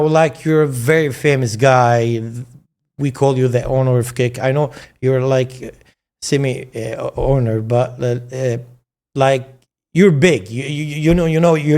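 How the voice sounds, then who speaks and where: A man's voice: medium pitch, darkish tone. A man in his forties whose first language is Arabic, hosting a casual interview in English, often stammering and restarting his words. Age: 40s. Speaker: streamer and host.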